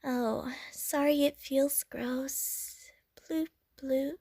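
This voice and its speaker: A woman's voice: sits high, fairly even intonation, extremely slow, warm tone, no room sound, studio quality, heavily acted. A woman playing an innocent young water slime creature in an audio roleplay, with a childlike, playful, gushing manner. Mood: earnest, sweet. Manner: childlike, playful, gushing, punctuated with little bloop noises. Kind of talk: audio roleplay addressed to the listener.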